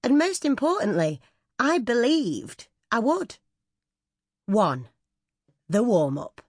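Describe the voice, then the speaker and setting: A woman's voice: on the high side, sing-song, takes it slowly, slightly rough, no echo, clean studio sound. A woman reading a scripted first-person memoir as an audiobook narrator.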